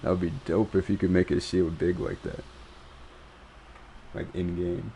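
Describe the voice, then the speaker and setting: A man's voice: low-pitched, level tone, a little slow, dark tone, a little hiss or hum. A man giving casual live game commentary as a streamer, with slangy, reactive asides. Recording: game music behind the voice. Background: video game music and sounds.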